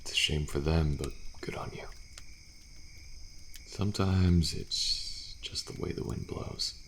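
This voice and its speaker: A very low, crackling male voice speaks slowly and softly, a man acting a weary survivor who stays friendly and quietly hopeful. It is an intimate ASMR roleplay delivery, hushed and reassuring, with faint night insects behind. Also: heavily acted. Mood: calm, resigned. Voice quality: deep, gravelly. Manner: soft-spoken, hushed, unhurried, reassuring.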